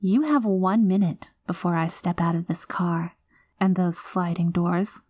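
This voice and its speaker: A woman's voice: fairly low pitch, measured pace, a little mellow, no echo. A woman playing an obsessive, possessive girlfriend in a scripted audio roleplay, theatrical and sugary with an edge of threat. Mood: cold ultimatum. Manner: saccharine pet names laced with threats, theatrical. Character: obsessive possessive yandere girlfriend, sweetly menacing, long reformed, unraveling. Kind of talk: scripted ASMR roleplay audio drama, voicemail monologue.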